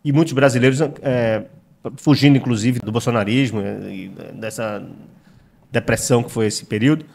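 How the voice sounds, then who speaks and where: Middle-aged Pernambuco-accented man, interview guest, reflective and halting, mid-pitched. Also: creaky, a little mellow, slight background noise. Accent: Pernambuco Brazilian Portuguese. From Recife, Brazil. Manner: reflective, frequent hesitations and repeated words. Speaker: guest writer. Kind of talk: conversational interview.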